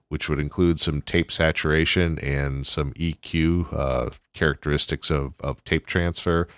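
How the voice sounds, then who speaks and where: A man's voice: a bass voice, no room sound. A man hosting an audio tutorial, explaining things casually and step by step into a close microphone.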